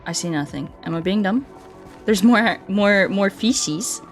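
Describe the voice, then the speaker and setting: A woman's voice: medium pitch, measured pace, faint music behind. A woman streaming a video game, chatting casually and playfully with viewers in a scatterbrained way, often repeating her words.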